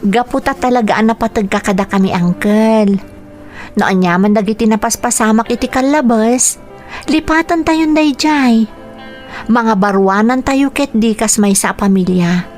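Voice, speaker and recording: An actress with a Filipino accent playing a caring, forgiving young niece in a radio drama, tender and encouraging. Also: female voice, fairly high pitch, normal speed, a little mellow, soft background music, lightly acted.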